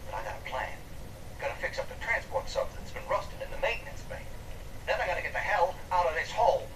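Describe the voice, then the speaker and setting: A man's voice: sits high, sing-song, fast. A man playing a paranoid, embattled survivor with an agitated, raised voice, in a video game audio diary. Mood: agitated, defiant. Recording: echoey, distant, noisy game playback.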